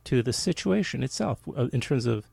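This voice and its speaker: A middle-aged man chatting casually as a podcast host, halting and often restarting his thoughts midway. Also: mid-range voice, animated, vocal fry, a little mellow, slightly rough, dry recording. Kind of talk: casual conversation.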